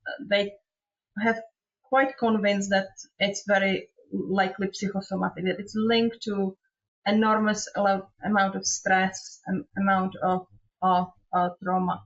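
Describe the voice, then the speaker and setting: A woman with a gravelly, breathy voice speaks in a measured, even tone with phrasing. She sounds like an interview guest on a remote call. The audio is dull and lo-fi. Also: medium pitch. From Czechoslovakia. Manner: non-native phrasing, measured storytelling. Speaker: guest, trauma therapist. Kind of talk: interview conversation. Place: home in northern Scotland, remote call.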